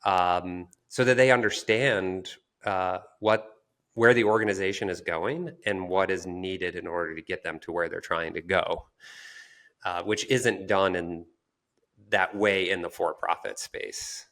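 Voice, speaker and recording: A man co-hosting a podcast, explaining conversationally in a measured way, sometimes searching for words. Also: sits low, steady tone, fairly bright tone. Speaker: podcast co-host.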